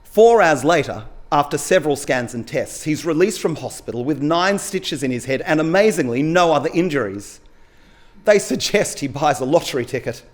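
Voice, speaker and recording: Animated middle-aged man reading a story aloud. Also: a little high, moderate pace. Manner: dramatic, acts out dialogue. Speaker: story reader at a live literary event. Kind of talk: expressive storytelling, reading short fiction aloud. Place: stage at a small indoor event venue. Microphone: lectern gooseneck microphone.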